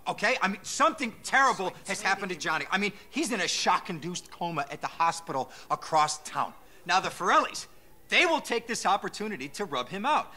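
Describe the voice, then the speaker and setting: Neurotic video game lawyer, very high-pitched, piercingly bright, melodramatic, stammering, desperate. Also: male voice, big pitch swings, brisk, heavily acted. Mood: anxious. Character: panicky, self-pitying neurotic male mob lawyer, foul-mouthed and desperate. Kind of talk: video game cutscene acting.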